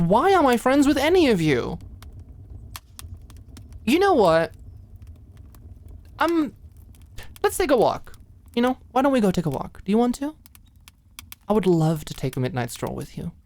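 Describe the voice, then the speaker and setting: A woman acting a feisty, protective popular young woman at camp in an ASMR roleplay, talking in a casual, halting, emphatic way. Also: on the low side. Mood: inviting, tender.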